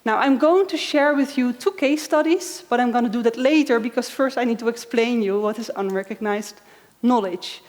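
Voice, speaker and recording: Female speaker in her thirties, Dutch accent, slightly high voice, engaging presenter, long hall reverb. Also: normal speed, darkish tone. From Netherlands. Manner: friendly, engaging, asks the audience questions. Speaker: conference speaker. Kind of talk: conference keynote talk. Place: large conference hall. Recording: echoey hall.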